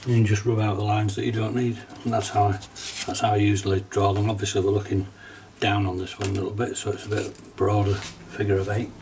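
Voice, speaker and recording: A middle-aged man with a stuffy, nasal voice from a cold talks through a watercolour demonstration in a relaxed, chatty way. His voice sits fairly low and a little away from the microphone. Faint household noise hums behind him. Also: brisk, hardly any pauses, recorded from a distance. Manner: relaxed, chatty, with small laughs and fillers. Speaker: watercolour teacher. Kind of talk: casual step-by-step painting demonstration. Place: painting desk at home, indoors.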